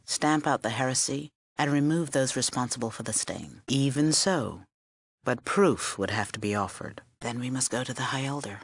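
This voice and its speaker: A woman's voice: extremely low pitch, moderate pace, breathy edge, no room sound, studio quality, heavily acted. A middle-aged woman playing a stern, learned scribe in a video game, with a formal, aloof and curt manner. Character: stern, learned female scribe of a post-war order, aloof. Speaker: game character. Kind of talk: video game character dialogue.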